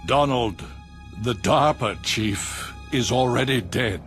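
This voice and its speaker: An actor with an American accent playing a cold, condescending government official in a video game cutscene, smug in manner. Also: male voice, medium pitch, takes it slowly, a bit bright, soft background music.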